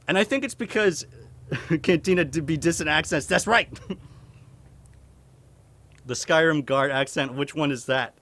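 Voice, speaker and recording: A man's voice: on the high side, unhurried, long pauses, a bit bright. A young man with a light Maine accent, a streamer casually answering chat questions at home, chuckling often and swearing casually. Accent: light Maine (New England).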